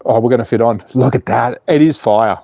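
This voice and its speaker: A man's voice: mid-range voice, a little fast, creaky, mellow, no echo, studio quality. A man in his forties with an Australian accent, hosting a casual slot car livestream, cheeky and full of swearing while he reads out chat and works on a car. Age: 40s. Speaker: slot car channel host.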